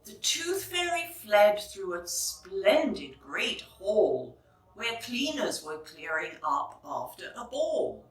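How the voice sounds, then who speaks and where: A woman narrating a children's storybook expressively, phrasing clearly for young listeners, like a teacher reading aloud. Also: mid-range voice, big pitch swings, slow pace. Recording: roomy echo, distant microphone.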